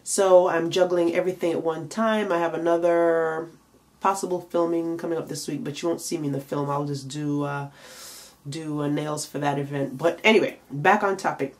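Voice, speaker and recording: A woman's voice: low-pitched, fairly even intonation, normal speed. Tired, congested woman giving tips. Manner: chatty, frequent fillers, emphatic rhetorical questions. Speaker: makeup and nail artist vlogger. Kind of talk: casual advice vlog to camera.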